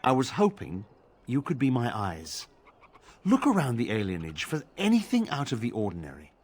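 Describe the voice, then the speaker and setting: An actor playing a grave, pious templar in a fantasy video game, speaking formally and solemnly. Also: male voice, mid-range voice, wildly swooping pitch, moderate pace, a little mellow. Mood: grave, hopeful. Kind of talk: video game drama acting.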